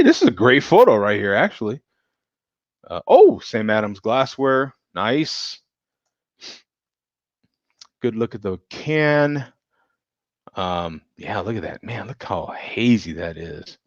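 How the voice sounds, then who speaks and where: A man's voice: fairly low pitch, dry recording, muffled recording. A man hosting a casual beer show, rambling, laughing and chatting with viewers, a bit tipsy. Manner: rambling, laughs often, talks to chat viewers. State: tipsy from drinking. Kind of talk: casual live-stream chat.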